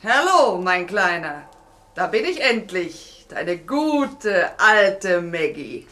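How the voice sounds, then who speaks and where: A woman's voice: lowish voice, big pitch swings, slow, fairly bright tone, heavily acted. A woman playing a bossy, sentimental female sea captain in a radio satire, switching between gushing endearments and blunt threats. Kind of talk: radio crime satire acting.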